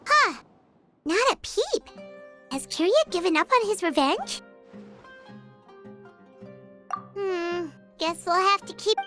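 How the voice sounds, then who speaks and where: A woman voicing a small, chirpy, childlike fairy companion in a video game, excitable and caring. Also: very high voice, unhurried, soft background music, exaggerated voice. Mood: curious. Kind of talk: game voice acting.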